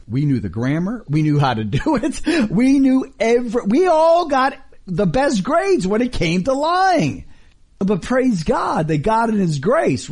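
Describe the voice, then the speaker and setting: Male preacher, middle-aged, husky voice, lively rising and falling pitch, emphatic rhetorical questions, non-native English speaker. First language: not English. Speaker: preacher and radio host. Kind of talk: radio sermon.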